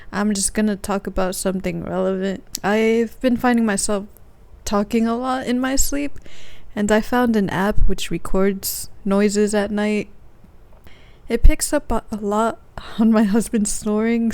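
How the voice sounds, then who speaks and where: A soft-spoken woman hosting her own podcast, casually recounting her dreams in a relaxed monologue. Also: mid-range voice. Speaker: podcast host.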